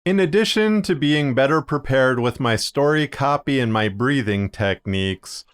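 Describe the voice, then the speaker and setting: Clear-voiced man narrating with measured phrasing. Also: mid-range voice. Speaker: podcaster and fiction narrator presenting a video series. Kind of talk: scripted video narration.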